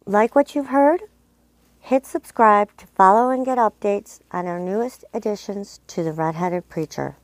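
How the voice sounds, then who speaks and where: A woman's voice: medium pitch, a little slow, no echo. Woman, upbeat scripted promo read, dry close studio sound. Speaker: podcast outro announcer.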